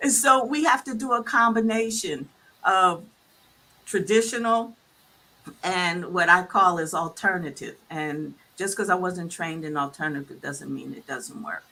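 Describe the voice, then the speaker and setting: A woman physician speaks with a bright, forceful tone. She talks earnestly and emphatically, often closing a point with "okay?". The mood is a casual discussion. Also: lowish voice, measured pace. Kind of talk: casual panel conversation.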